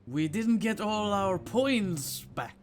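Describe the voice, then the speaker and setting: A man's voice: sits high, lively intonation, slow. A man doing casual game commentary, playful, with muttered asides and exclamations. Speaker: gaming video host.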